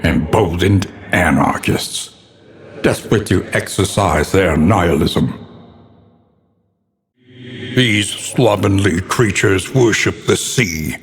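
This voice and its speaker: A man playing a grave, ominous narrator of a gothic horror game, delivering theatrical scripted narration in a solemn, portentous manner with deliberate diction. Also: low voice. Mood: grim, disdainful. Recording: long cavernous reverb.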